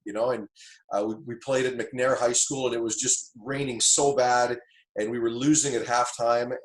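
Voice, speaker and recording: A middle-aged man from Tanzania, a football coach, telling stories about his start in the game in a relaxed, reflective way, with plenty of fillers and restarts. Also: mid-range voice, reverberant, rough recording. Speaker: football coach, interview guest. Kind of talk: casual interview storytelling.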